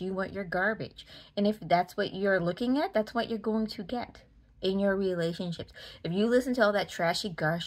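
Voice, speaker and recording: A woman in her forties with a Boston accent, giving a casual, emphatic opinion rant to camera in a frustrated way. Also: mid-range voice. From Massachusetts, United States. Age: 40s. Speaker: vlogger. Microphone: phone at arm's length.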